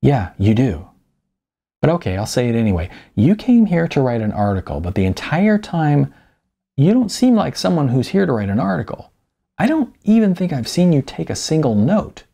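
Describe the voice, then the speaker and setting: A man's voice: fairly high pitch, big pitch swings, moderate pace, vocal fry, warm tone, pitched above the performer's own voice, full character voice. A man reading the audiobook dialogue of an earnest, persistent young woman in an eager, emphatic manner. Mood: insistent.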